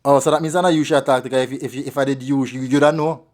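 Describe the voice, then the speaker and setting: Man, Jamaican accent, very quick pace, casual prank caller, repetitive questioning. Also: medium pitch, fairly even intonation. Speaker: prank show host. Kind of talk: casual prank phone call.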